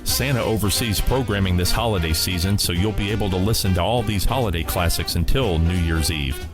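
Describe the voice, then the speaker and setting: Low-voiced male radio program host. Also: fairly quick, over music. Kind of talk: scripted hosting, announcements.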